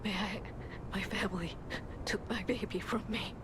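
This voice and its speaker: Una mujer que da voz a una madre joven y desesperada que suplica en un susurro entrecortado, en un videojuego. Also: female voice, mid-range voice, steady tone, moderate pace, natural voice. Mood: pleading. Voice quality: breathy whisper. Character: desperate young mother, pleading, frail. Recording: noisy game audio, distant.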